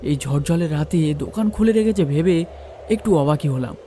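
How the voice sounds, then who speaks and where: A man's voice: on the high side, lively intonation, normal speed, warm tone, slight background noise. A man giving a dramatised first-person narration of a ghost story.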